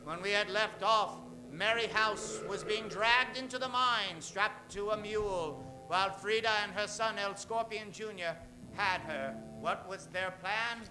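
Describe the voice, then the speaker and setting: A man's voice: high-pitched, unhurried, background noise. A man playing a suspenseful, theatrical announcer narrating an old-time radio serial.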